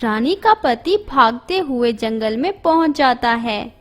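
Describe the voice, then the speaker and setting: Slow, high-voiced woman storyteller. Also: faint music behind. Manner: steady, storybook telling. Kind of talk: scripted narration of an animated moral story.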